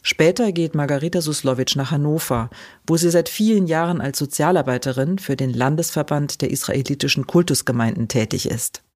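A woman hosting a short documentary format, reading scripted narration in an even, measured way. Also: deep voice. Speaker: host, narrator.

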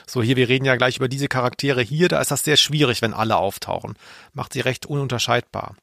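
A man's voice: mid-range voice, quick pace, vocal fry, fairly bright tone, no room sound. A man co-hosting a podcast, chatting casually and with dry irony about audio dramas. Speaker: podcast co-host.

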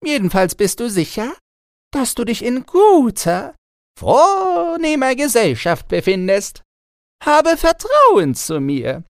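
A man's voice: very high-pitched, huge pitch swings, slow, clear, resonant tone, dry recording, voice pushed up, full character voice. A man voicing a tiny, uncanny toy manikin in a small, thin voice, courtly, sly and condescending, in a storybook reading. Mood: smug, ingratiating.

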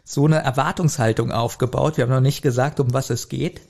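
A man's voice: mid-range voice, fairly even intonation, fairly quick, creaky, darkish tone. A man co-hosting a podcast, chatting casually about an audio drama and often chuckling as he talks. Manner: chuckles often while talking. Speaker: podcast co-host.

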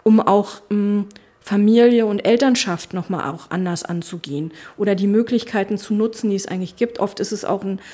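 A middle-aged woman speaks in an interview in a voice of average pitch for a woman. She talks as a politician, thoughtfully and haltingly, with many fillers and restarts as she searches for her words. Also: dark tone. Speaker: politician, spokesperson for family and education. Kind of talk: casual interview talk. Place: her constituency office.

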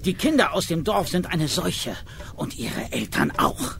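A grumpy, self-righteous man in a radio drama, scolding in a gravelly, high voice. His pitch swings widely as he rants, over a faint rumbling noise. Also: very animated, unhurried, fairly bright tone, heavily acted. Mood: indignant. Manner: indignant, scolding rant. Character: cantankerous, self-righteous older male villager railing at children. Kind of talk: German radio play acting.

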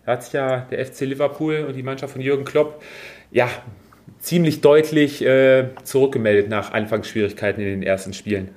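A man hosting a casual football podcast, chatting in a relaxed way with many fillers. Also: mid-range voice, brisk. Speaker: podcast host.

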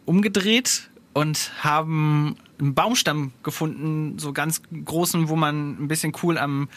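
Bright, slightly high young man. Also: a little slow. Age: young adult. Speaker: podcast co-host. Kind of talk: casual chat.

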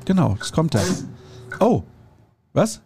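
A man's voice: a little high, very animated, slow, creaky, warm tone, slight background noise. A man hosting a podcast in a studio, chatting and teasing his guest in a joking way. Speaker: podcast host. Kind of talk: casual chat.

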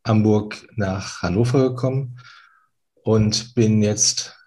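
A man who works as a financial advisor introduces himself in a slow, deliberate way. His voice sits fairly low. He takes his time over each sentence. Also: a little mellow.

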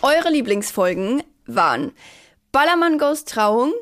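A woman co-hosting a podcast, a singer with acting training, talking in a relaxed, reflective way and laughing easily. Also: sits high, unhurried. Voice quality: trained actor's voice. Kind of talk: casual podcast chat.

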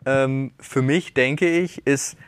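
A man's voice: mid-range voice, fairly even intonation, slow pace, clear, resonant tone, no echo, studio quality. A man in his thirties, a podcast host, talking in a rambling, reflective way and often correcting himself mid-sentence. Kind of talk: casual chat.

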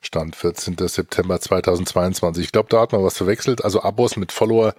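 A man's voice: low-pitched, fast, a little mellow, breathy edge, dry recording, clean studio sound. A man co-hosting a podcast, reviewing in a conversational way. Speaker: podcast co-host.

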